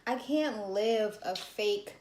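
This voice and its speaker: A young woman with a high-pitched voice, co-hosting a casual chat with her mother, frank and self-assured. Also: slow pace, a little mellow, a little hiss or hum. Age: young adult. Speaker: co-host, daughter. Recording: echoey room.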